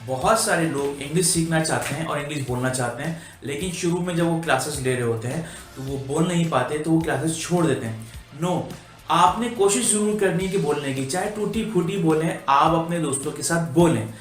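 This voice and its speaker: A man's voice: fairly high pitch, a little fast. A man giving an earnest English lesson in Urdu, slipping English phrases into his talk. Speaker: English teacher. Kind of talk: spoken English lesson vlog. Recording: echoey room with faint music.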